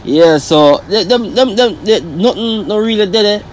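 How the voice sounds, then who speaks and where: A man with a Jamaican accent in casual discussion, telling stories in halting, repetitive phrases. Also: sits high, lively intonation, a crowd in the background.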